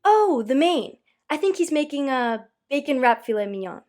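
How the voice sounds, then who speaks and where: Casting: actress as chatty female kitchen colleague, audio drama, highish pitch, very animated, helpful and busy. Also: moderate pace. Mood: busy, friendly. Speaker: voice actor.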